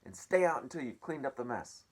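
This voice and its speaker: A man's voice: mid-range voice, big pitch swings, a little fast, husky, voice pushed up. A man voicing a cruel, greedy middle-aged woman who barks orders in a storybook. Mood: nasty snapping. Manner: barking, snapping orders.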